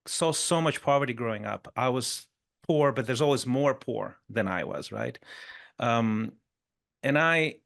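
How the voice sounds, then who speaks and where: A man's voice: medium pitch, vocal fry, a bit bright. A middle-aged man from Chile with a mid-range voice, talking through his story. He speaks loosely and conversationally, often adding "sort of" and tagging "right?" onto his points. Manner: frequent "sort of" and "right?" tags, brief restarts. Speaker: podcast guest, performance coach.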